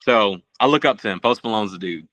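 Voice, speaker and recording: A man's voice: fairly low pitch, expressive, fairly quick, a bit bright, no room sound. A man from Puerto Rico, a musician, chatting casually and earnestly as a podcast guest. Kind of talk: casual interview chat.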